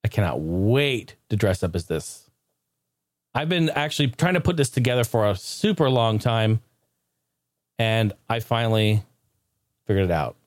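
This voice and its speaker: A man hosting a podcast in casual comedic chat, talking in a jokey, excitable, informal way. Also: lowish voice, moderate pace, pauses often, no echo, studio quality. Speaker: podcast host.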